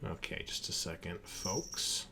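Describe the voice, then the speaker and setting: A man narrating a tabletop roleplaying game as game master, in an informal, halting way. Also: pushed and bright, slight background noise. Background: music.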